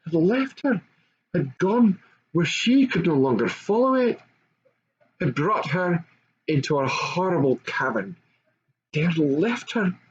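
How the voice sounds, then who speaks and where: A man's voice: high-pitched, lively intonation, slow, long pauses. An older man reads a children's story aloud expressively, as an amateur storyteller. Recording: dull lo-fi home recording, faint noise.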